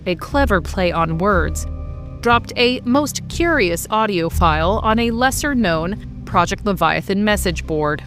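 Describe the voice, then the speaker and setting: A woman narrates and hosts a scripted story podcast. Her voice sits at an average pitch, and music plays clearly behind it. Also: a bit bright.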